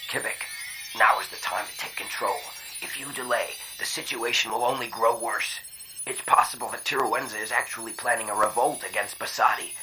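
A man voicing a commanding male alien clan leader in an audiobook, speaking with deliberate authority. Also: mid-range voice, fairly even intonation, bright, forceful tone, a little hiss or hum, poor audio. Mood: urgent, pressing. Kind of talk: dramatized audiobook narration.

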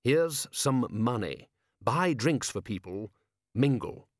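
A man voicing an urbane, commanding male city ruler in a measured, formal, deadpan manner for an audiobook. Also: medium pitch, dry recording, clean studio sound. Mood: wry.

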